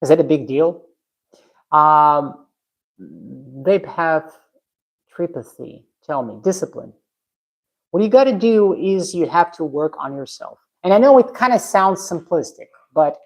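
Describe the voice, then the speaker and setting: A woman's voice: sits low, a little mellow, rough recording. A woman from Russia, chatting frankly on her own live stream from her garage and answering viewers' comments. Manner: frank, blunt, restarts often. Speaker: livestream host. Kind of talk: casual live stream chat, reading comments. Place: home garage.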